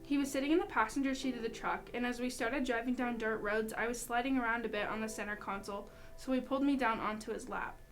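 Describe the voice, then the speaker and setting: A woman's voice: a little high, fairly even intonation, fast, few pauses, faint music behind. A young adult woman, a student, reading a personal account aloud. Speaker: student reader.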